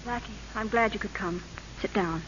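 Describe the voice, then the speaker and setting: An actress playing a politician's devoted, anxious young wife in old radio drama, earnest, affectionate and pleading. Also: female voice, mid-range voice, moderate pace, close to an everyday voice. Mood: anxious, confiding. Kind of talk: 1930s radio acting. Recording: muffled old radio recording with hiss.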